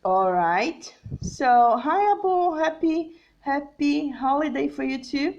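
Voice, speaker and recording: A young adult woman from Brazil with a Brazilian Portuguese accent, teaching an English lesson on a live stream, slow and deliberate with many fillers and check-ins. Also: high voice, animated, a little mellow, dull audio.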